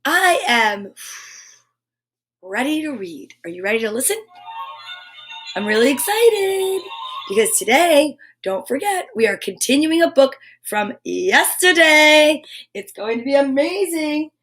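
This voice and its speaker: A woman's voice: sits high, very animated, unhurried, a bit bright, faint music behind. A woman hosting a live children's story show, effusive and encouraging, chatting with playful asides and reading aloud.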